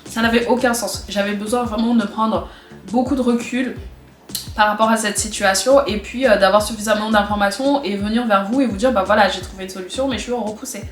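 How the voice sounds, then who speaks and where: A woman's voice: mid-range voice, fairly even intonation, a little fast, soft background music. A young woman with a cold, talking casually to camera in a chatty way and repeating phrases for emphasis. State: has a cold, stuffy. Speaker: vlogger. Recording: echoey room recording.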